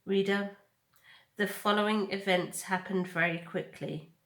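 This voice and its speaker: Slow-paced woman storyteller in echoey room. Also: medium pitch, steady tone, a bit bright. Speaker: storybook reader. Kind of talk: dramatic read-aloud narration of children's fiction.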